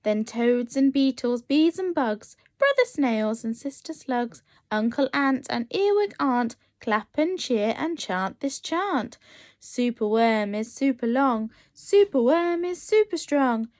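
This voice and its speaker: A woman with a high voice reads a children's story at a slow, deliberate pace. She sounds like a nursery teacher at storytime, animated and encouraging, speaking directly to little listeners. The sound is close and dry. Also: no room sound. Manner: expressive, encouraging, addressing young children. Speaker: nursery teacher reading a picture book aloud.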